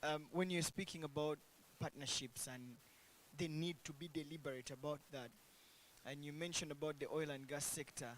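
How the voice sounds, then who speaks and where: A man's voice: a little high, fairly even intonation, a little hiss or hum, poor audio. Polite man moderating a session. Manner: polite, measured, thanks speakers. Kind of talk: moderating a panel discussion.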